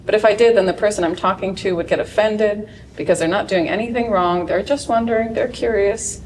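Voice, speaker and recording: A woman reading a translated first-person story aloud at a live literary event, with a dry, wry delivery that flares into sharp outbursts. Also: medium pitch, brisk. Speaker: translator reading her work. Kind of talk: expressive reading of translated fiction. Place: event stage before an audience. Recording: distant mic in a large room, faint noise.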